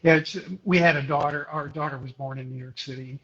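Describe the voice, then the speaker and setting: A middle-aged man from Houston, a guest photographer, talking hesitantly with many pauses and restarts in a live interview over a video call. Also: on the high side, steady tone, fairly bright tone, slightly rough, muffled recording. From Houston, Texas.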